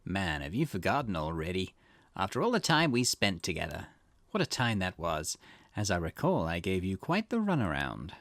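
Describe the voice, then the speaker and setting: A man's voice: sits low, wildly swooping pitch, moderate pace, a bit bright, no room sound, voice pushed down, heavily acted. A man voicing a smug ex-convict murderer who taunts in a mocking, theatrical way for a mystery game. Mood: mocking glee.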